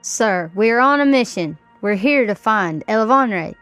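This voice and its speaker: A man voicing a small, polite elf in a high, eager voice. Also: animated, normal speed, a bit bright, clear, resonant tone, no room sound, soft background music. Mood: earnest.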